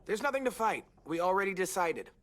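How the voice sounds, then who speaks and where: A man's voice: sits high, big pitch swings, fairly quick, a bit bright. A man voicing a stubborn, defensive teenage boy with a curt delivery in video game drama. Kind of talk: naturalistic video game acting.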